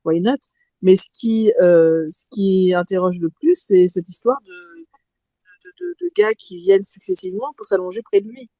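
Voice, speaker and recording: A woman's voice: medium pitch, animated, a little slow, dark tone, dry recording. A woman taking part in a casual live audio discussion, speaking hesitantly with many fillers and a wry tone. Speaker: participant.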